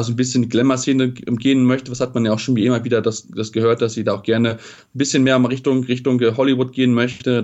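Fast-talking man on a dull lo-fi line. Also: medium pitch, darkish tone. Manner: halting, many fillers and restarts. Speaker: sports pundit. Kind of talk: casual spoken analysis.

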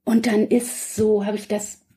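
A woman with a medium-pitched voice chats as a guest on a podcast. She explains in a loose, conversational flow, moving from one thought to the next. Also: level tone, a little slow, dark tone, husky. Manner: chatty, loosely structured, explanatory. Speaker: guest author. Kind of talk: casual podcast chat.

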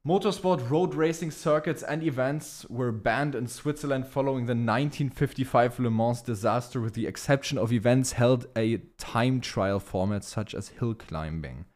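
A man's voice: medium pitch, moderate pace, hardly any pauses, darkish tone. A man co-hosting a podcast in casual chat, dropping English words and phrases into his speech. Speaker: podcast co-host. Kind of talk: casual chat about motor racing.

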